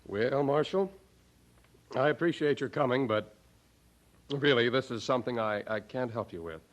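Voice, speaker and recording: An actor playing a kindly older seminary dean in a radio drama, speaking in a deliberate, avuncular way. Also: male voice, medium pitch, animated, close to an everyday voice. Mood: kindly, thoughtful.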